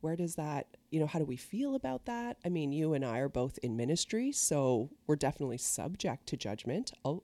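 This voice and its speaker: A middle-aged woman, an ordained minister, hosting a podcast: a scripted welcome, then animated, laughing chat. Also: low-pitched, fairly quick, hardly any pauses, no room sound. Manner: animated storyteller who laughs often.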